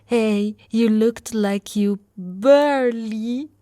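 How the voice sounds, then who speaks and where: Casting: woman to play a brawny female orc wife for ASMR roleplay; unhurried, teasing, intimate delivery with whispered dips, recorded close and dry. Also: on the high side, mellow, no echo. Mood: playful, boastful. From Italy. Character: brawny affectionate female orc warrior wife, playfully boastful.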